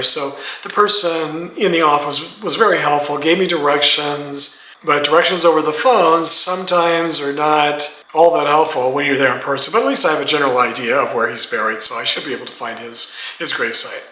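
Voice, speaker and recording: A man's voice: a little high, bright, forceful tone, echoey room, poor audio. An older man with a congested, stuffy voice, chatting casually in a home vlog and often correcting himself as he rambles. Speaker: vlogger. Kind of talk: casual talking-head vlog. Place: home in a desert town.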